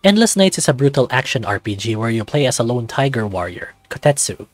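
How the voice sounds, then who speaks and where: A man reading scripted second-person narration for a visual novel in an even storytelling manner. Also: medium pitch, fairly quick, no room sound, soft background music.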